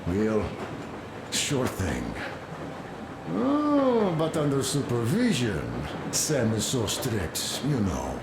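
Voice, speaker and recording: An actor playing a patient, gently strict man teaching handiwork in a game, with an instructive manner. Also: medium pitch, huge pitch swings, full character voice. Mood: indulgent. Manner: slow, instructive. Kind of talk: game cutscene dialogue. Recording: echoey, noisy ambience.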